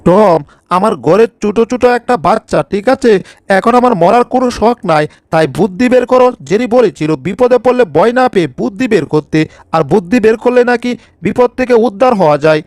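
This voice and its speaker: High-pitched anxious cartoon bulldog, voiced by a man. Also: a little fast, a little mellow, no room sound, clean studio sound, natural voice. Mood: scolding, worried. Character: anxious, scolding male bulldog taking charge. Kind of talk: cartoon fan dub.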